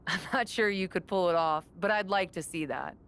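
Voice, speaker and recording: A woman's voice: fairly low pitch, a little fast, fairly bright tone, clean tone. A woman playing a confident, encouraging wrestler, speaking assured in a video game cutscene. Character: confident, encouraging veteran female wrestler rallying allies.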